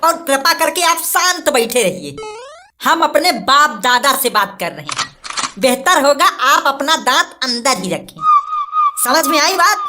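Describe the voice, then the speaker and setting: Woman as young male bridegroom, very high voice, firm, emphatic, cutting, cartoon comedy, music behind. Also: very animated, unhurried. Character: defiant principled young man, an educated village bridegroom. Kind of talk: comic cartoon dialogue.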